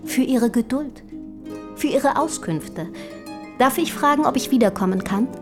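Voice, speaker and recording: A woman's voice: high voice, lively intonation, unhurried, over music. An actress playing an eager, self-assured young noblewoman writer of the early 1800s in a radio play, with formal, inquisitive diction. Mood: proud, effusive. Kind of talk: German radio play acting.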